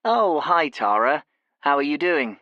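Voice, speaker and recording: An actor playing a friendly, helpful IT worker on the phone in a scripted lesson dialogue, speaking clearly and carefully. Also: medium pitch, very animated, a little slow, dry recording, dull audio. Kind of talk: scripted phone-call role-play.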